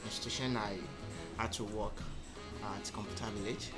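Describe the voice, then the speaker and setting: A man, a podcast guest telling his story in measured, thoughtful speech full of um pauses. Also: on the low side, fairly even intonation, over loud music. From Nigeria. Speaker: interview guest. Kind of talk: casual conversational storytelling.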